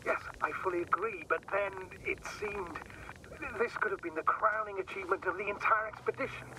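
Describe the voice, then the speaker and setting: A man's voice: sits high, a little fast, noisy background, natural voice. An actor playing an earnest, guilt-burdened male mission commander in a dramatic sci-fi video game. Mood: remorseful.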